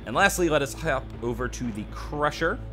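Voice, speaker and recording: A man's voice: a little high, expressive, moderate pace, fairly bright tone, soft background music. A man hosting a gaming video, commenting casually and conversationally with wry asides. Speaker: gaming channel host. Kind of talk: casual game update commentary.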